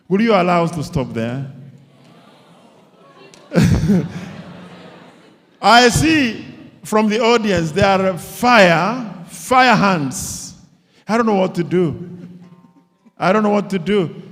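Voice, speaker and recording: A man moderating a panel before an audience, slow and playful, often laughing, on a handheld mic in a large hall. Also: high-pitched, fairly bright tone. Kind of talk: moderating a public panel discussion. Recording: long hall reverb.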